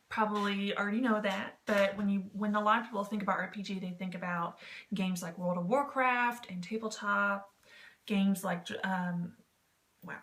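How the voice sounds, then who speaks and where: Woman, medium-pitched voice, casual chatty vlog explainer, self-deprecating and jokey, roomy echo. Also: fairly bright tone. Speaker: vlog host giving tips on written role-playing. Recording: roomy echo with clear background noise.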